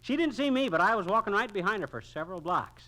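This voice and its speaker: An American actor playing a folksy, excitable, work-shy husband in a 1940s radio comedy, slangy and wisecracking. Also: male voice, high-pitched, fast, rough recording. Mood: evasive, wisecracking. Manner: flowery spiel, wisecracking, slangy. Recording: vintage 1940s radio recording.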